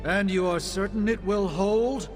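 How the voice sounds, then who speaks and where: A haughty, wary sorcerer doctor in a game cutscene. He speaks formally and precisely in a fairly high register, with music clearly behind him. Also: a little slow. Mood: wary disdain. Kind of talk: video game cutscene acting.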